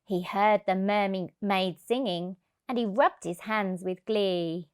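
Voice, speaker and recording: A woman's voice: medium pitch, takes it slowly, darkish tone, clear, resonant tone. A woman reading a rhyming picture book aloud expressively for young children.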